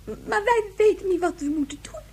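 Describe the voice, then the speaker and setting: A female performer playing an upset, anxious young child in a radio drama, halting and plaintive. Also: extremely high pitch, lively intonation, a little mellow.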